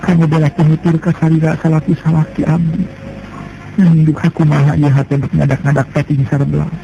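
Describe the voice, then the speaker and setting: A male storyteller voicing a young wife who is tender, anxious and devoted, with a soft, pleading manner. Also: fairly high pitch, completely flat delivery, fairly quick, creaky, pitched above the performer's own voice. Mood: sorrowful pleading. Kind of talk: Sundanese storytelling. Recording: dull lo-fi sound with music behind.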